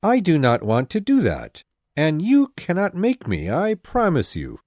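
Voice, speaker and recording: A man's voice: a little high, no echo, clean studio sound, heavily acted. Man acting a wry husband with swooping intonation. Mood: stubborn. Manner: calm, wry, measured. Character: dry, teasing, stubborn middle-aged husband and father. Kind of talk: storybook dialogue acting.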